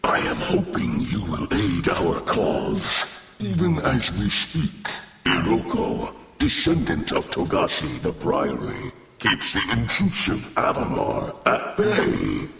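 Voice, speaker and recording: Ancient plant-being warrior, gravelly, swooping intonation, florid and courtly, long echoing reverb, game voice. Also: male voice, low voice, wildly swooping pitch, a crowd in the background, exaggerated voice. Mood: earnest, stately. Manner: florid, formal, earnest, deliberate. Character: courtly, wordy, gentle old tree-creature warrior. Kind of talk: fantasy video game acting. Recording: long cavernous reverb, noisy background.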